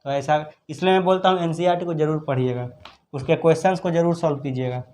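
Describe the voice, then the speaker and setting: A man with a noticeably high voice gives a step-by-step lesson. He speaks as a teacher, instructing and repeating each point so students can follow. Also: steady tone, fast talker, a little mellow. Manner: repetitive, instructive. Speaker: chemistry teacher. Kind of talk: step-by-step exam problem lesson.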